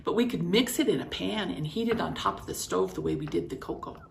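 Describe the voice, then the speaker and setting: A woman voicing a bossy, eager little girl in a storybook, brisk and emphatic. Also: on the low side, wildly swooping pitch, creaky, full character voice. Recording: echoey room, faint background hum.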